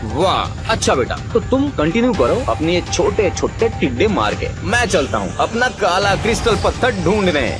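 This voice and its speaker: Cocky high-voiced teasing guy acted by a man. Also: sing-song, moderate pace. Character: cocky, teasing male companion of the hero. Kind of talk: Hindi audio drama acting. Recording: music behind the voice.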